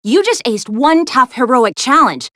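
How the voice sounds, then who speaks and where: An actress voicing a plucky, sassy young woman adventurer in a video game, expressive and wry as she briefs heroes. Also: high voice, a little slow, no room sound, studio quality, heavily acted. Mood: impressed, cheering. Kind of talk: animated video game character lines, giving mission briefings.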